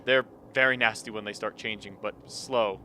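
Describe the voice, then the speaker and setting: A man playing a sharp-voiced, suspicious polar expedition man. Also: lowish voice, steady tone, normal speed. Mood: grimly amused. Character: grim, suspicious man at an isolated polar research camp. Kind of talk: radio play acting. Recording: faint background noise.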